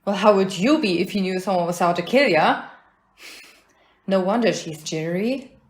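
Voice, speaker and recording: A woman's voice: mid-range voice, normal speed, reverberant, natural voice. A woman playing an outspoken, skeptical companion to a detective in an audio play. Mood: sympathetic. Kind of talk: amateur audio play acting.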